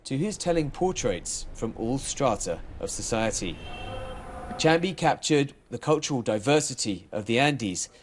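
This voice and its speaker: A man narrating a scripted news report in a measured, even voice. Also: mid-range voice, a little hiss or hum.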